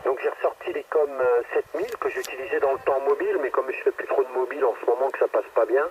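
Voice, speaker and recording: A man's voice: mid-range voice, fast, crowd noise. A talkative man chatting informally about technical gear as an amateur radio operator, heard through a radio receiver. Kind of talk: casual technical chat over radio. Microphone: cheap radio microphone heard through transceiver speaker. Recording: muffled noisy radio reception, distant.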